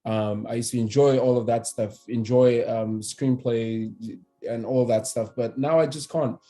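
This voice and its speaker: A man from Cameroon in his thirties, an immigration attorney speaking as a podcast guest, relaxed and hesitant with many fillers and restarts. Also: medium pitch, unhurried, soft background music. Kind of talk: conversational podcast interview.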